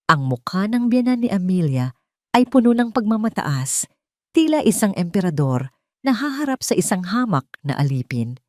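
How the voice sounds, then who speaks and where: A woman's voice: mid-range voice, very animated, moderate pace, no room sound, studio quality. A woman reading a scripted dramatic story as narrator.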